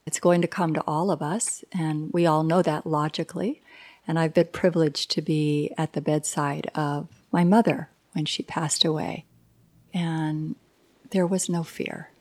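A woman in her sixties speaks slowly and earnestly, a touch low in pitch, with soft laughs slipping in. She sounds like a yoga teacher and life coach giving her reflections for a radio feature. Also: steady tone. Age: 60s. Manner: slow, earnest, laughs lightly. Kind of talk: interview reflections.

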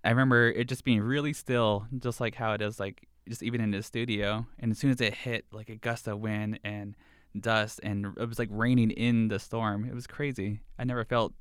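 A man's voice: on the low side, level tone, moderate pace, fairly bright tone, clean tone, no room sound. A young man photographer in a studio interview, talking in a laid-back, casual way. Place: radio studio.

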